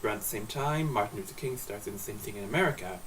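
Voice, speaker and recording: A man's voice: fairly low pitch, expressive, fast, fairly bright tone. An Irish man vlogging late at night, tired and slightly slurred, rambling conversationally with restarts and acted asides. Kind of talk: casual spoken video essay. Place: indoors at home at night. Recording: distant mic with faint hiss.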